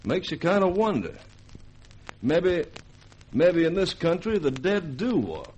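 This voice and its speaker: An actor playing an uneasy, spooked army captain in a vintage radio drama, with a folksy, halting delivery. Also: male voice, a little high, big pitch swings, darkish tone. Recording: faintly noisy old radio recording.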